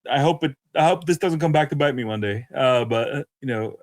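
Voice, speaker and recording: A man co-hosting a podcast in casual, unscripted discussion, haltingly searching for his words and repeating phrases. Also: medium pitch, no room sound. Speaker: podcast co-host.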